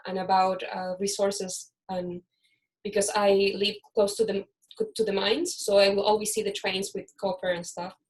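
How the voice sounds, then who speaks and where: A woman's voice: medium pitch, a little mellow, rough recording. Brief: woman from Chile, host of a casual video-call panel, chatty, mid-range voice, restarts sentences. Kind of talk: casual online panel chat.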